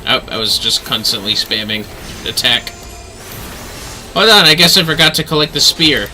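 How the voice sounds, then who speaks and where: Bright, sharp-voiced man streaming a game. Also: medium pitch, expressive, moderate pace, a little hiss or hum. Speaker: livestreamer. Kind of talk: casual game stream commentary.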